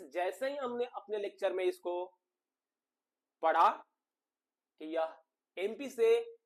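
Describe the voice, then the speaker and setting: A man giving an informal exam-analysis lecture to viewers, emphatic, repeating key phrases and asking rhetorical questions. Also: sits high. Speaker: exam coaching teacher and channel host.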